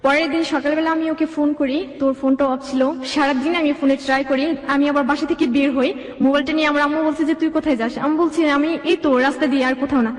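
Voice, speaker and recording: Young female guest, high voice, detailed run-on storytelling with quoted dialogue, reverberant sound. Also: steady tone, fast. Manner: run-on, detailed retelling with reported speech. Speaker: guest telling own life story. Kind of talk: conversational personal storytelling, quoting dialogue.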